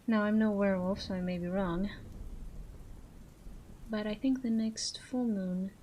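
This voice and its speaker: A woman performs a seductive female crime boss in an intimate audio roleplay. Her voice is mid-pitched and mellow, with coy, teasing, self-assured phrasing. Some background noise sits under the recording. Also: fairly even intonation, natural voice. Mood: coy flirtation. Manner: coy, teasing, drawn-out phrasing with breathy asides. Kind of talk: roleplay audio drama, addressed to listener.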